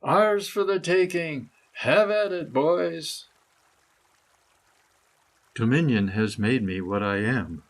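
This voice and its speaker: A man reading his own scripted meditative prose poem in a solemn, deliberate manner with dramatic phrasing. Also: medium pitch, expressive. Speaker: author reading own essay.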